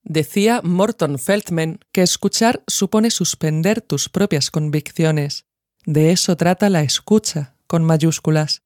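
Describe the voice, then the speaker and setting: A narrator reads a scripted audio essay in a measured, reflective way, phrasing each thought deliberately. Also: medium pitch, a little mellow, no echo, clean studio sound. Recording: music behind the voice. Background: music.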